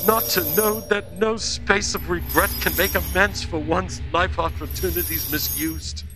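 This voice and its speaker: A man's voice: sits high, fairly even intonation, measured pace, voice pushed up. A man voicing a mournful, chained ghost in a radio play, solemn and wailing as he delivers his warning. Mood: anguished, urgent. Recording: music loud behind the voice.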